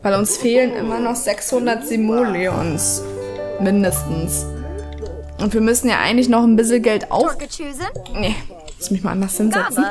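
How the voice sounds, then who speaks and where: A woman's voice: on the high side, normal speed, music in the background. A woman with a cold chatting casually through her gameplay, rambling with many fillers at a desk microphone. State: has a cold. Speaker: let's play host.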